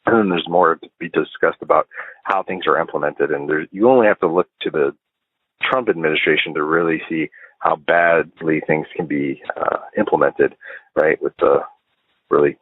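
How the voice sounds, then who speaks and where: An American man leaving a voicemail as a listener, giving informal political commentary in a measured, halting, deliberative way. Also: extremely low pitch, husky, no echo. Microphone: phone. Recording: tinny band-limited phone voicemail line.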